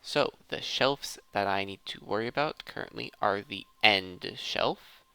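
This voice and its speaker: A man's voice: lowish voice, a little slow, creaky, sharp tone, smooth, no room sound. A man hosting a gameplay video, thinking aloud in a casual commentary addressed to his viewers. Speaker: host of a gaming let's play series.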